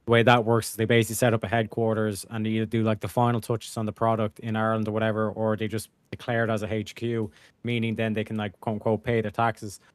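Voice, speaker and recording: Guy rattling on quick with lots of likes, low flat voice. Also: no room sound. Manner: rapid, rambling with many fillers. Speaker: panel guest. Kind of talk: casual panel discussion.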